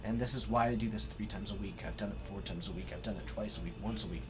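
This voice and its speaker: Excitable man hosting a game stream. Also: lowish voice, fast talker, over music. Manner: excitable, effusive and gushing with thanks. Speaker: stream host and match commentator. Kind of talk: live-stream card game commentary and chat shout-outs.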